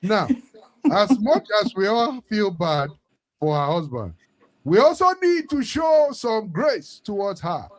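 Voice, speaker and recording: A man's voice: high-pitched, very animated, slow, poor audio. A man hosting an online talk show, jumping in playfully to steer the talk. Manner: playful, interjecting. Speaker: host.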